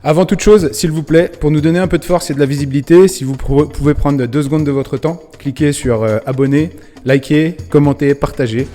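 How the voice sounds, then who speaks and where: A man's voice: a little high, steady tone, a little fast, warm tone, faint music behind. A man hosting a club channel interview, friendly and hesitant, with many fillers. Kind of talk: casual interview. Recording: reverberant room.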